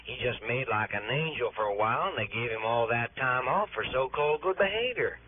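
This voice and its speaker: A man's voice: medium pitch, animated, fairly quick, a little hiss or hum, close to an everyday voice. An American actor playing an anxious, insistent insurance office man in a 1960s radio drama, folksy and emphatic. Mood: worried. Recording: tinny band-limited old radio recording.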